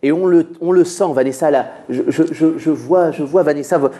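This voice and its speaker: A man's voice: on the high side, a little fast, warm tone, slight background noise. A man hosting a TV talk show, teasing his co-host and greeting his guest in a playful, mock-formal way.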